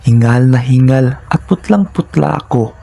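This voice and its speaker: A man's voice: medium pitch, unhurried, gravelly, mellow, faint music behind. A man narrating scripted first-person horror stories in a dramatic, suspenseful manner, in short phrases.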